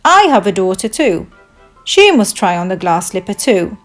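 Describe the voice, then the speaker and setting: An insistent fairy-tale father as a woman storyteller performs him. He pleads in a medium-pitched voice over soft music. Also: very animated, moderate pace, natural voice.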